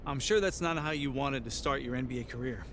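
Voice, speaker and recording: A man's voice: mid-range voice, fast talker, background noise, natural voice. A man voicing a polite, friendly sports reporter asking questions at a game's press conference.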